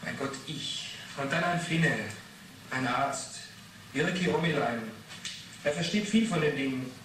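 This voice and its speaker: Testy witness in echoing room. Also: male voice, fairly high pitch, animated, unhurried. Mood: irritated. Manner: evasive, explanatory, testy. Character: defensive, irritable male club member under questioning. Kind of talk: 1980s German radio play acting. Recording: very echoey, noisy, distant radio drama.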